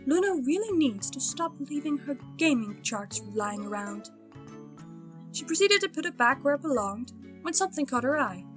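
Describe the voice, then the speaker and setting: Bright-voiced woman narrating a story. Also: high-pitched. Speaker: fan fiction narrator.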